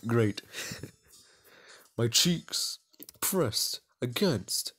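A man narrates a visual novel in a casual, mid-pitched voice. He reads aloud like a gaming host, stumbling over the text and chatting loosely in a self-mocking way. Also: animated, extremely slow, a bit bright, slightly rough.

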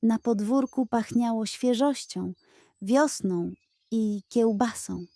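A woman tells a children's story with playful expression. Her voice is fairly high and soft-edged, and she takes her time over the scripted narration. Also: takes it slowly, no room sound, studio quality.

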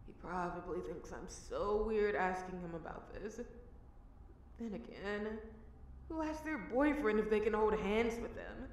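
A woman's voice: medium pitch, measured pace, natural voice. A woman plays a shy, anxious young girlfriend in an intimate audio roleplay. She is affectionate but overthinks, stammering and hesitating as she speaks. Faint ambient noise sits behind her. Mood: anxious inner thoughts.

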